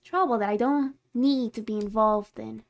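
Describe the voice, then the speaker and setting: A woman's voice: on the high side, moderate pace, gravelly. A woman playing a haughty, secretly caring tsundere vampire in a scripted ASMR roleplay, condescending and easily exasperated. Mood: worried, grumbling. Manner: condescending, exasperated, flustered scolding with sighs.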